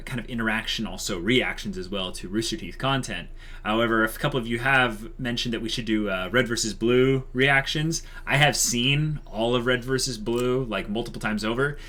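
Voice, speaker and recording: A man's voice: medium pitch, fairly bright tone. A young man co-hosting a channel announcement, speaking casually and conversationally with plenty of likes and you-knows. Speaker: channel co-host.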